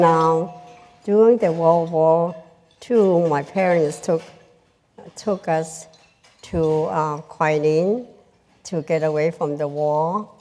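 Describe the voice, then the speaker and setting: An older woman from Hong Kong, a businesswoman telling her life story on a panel in a slow, halting way with many pauses. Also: on the low side, mellow. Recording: long hall reverb.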